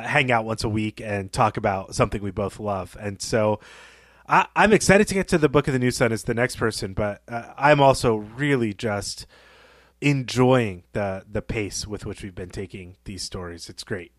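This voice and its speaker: A man co-hosting a podcast, talking casually and earnestly in measured, reflective phrases. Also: medium pitch, dry recording. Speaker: podcast co-host.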